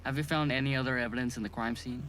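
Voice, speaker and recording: A man acting an earnest autopsy doctor in an amateur audio drama, talking in a deliberate, conversational way. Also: mid-range voice, fairly even intonation, fairly bright tone, soft background music, lightly acted, poor audio. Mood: matter-of-fact, sympathetic. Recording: background noise.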